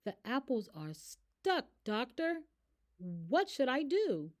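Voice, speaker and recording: Woman as little girl storyteller, eager, exasperated, rhyming picture book, very lively pitch, slow pace. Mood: worried. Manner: animated, expressive.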